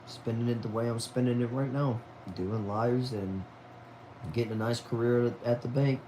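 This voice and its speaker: A young man of about twenty hosts a relaxed livestream from home, reading out his viewers' comments and answering them. His voice sits at an ordinary pitch for a man. He sounds loose and chatty, with a faint hiss of noise behind him. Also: moderate pace. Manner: laid-back, chatty, says y'all and man. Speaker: livestream host. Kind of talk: casual livestream chat, reading viewer messages aloud.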